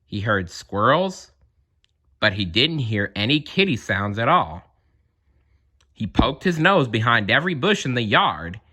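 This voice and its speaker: A man reading a children's story aloud in an expressive narrating style. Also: medium pitch, measured pace, breathy edge. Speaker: storybook reader.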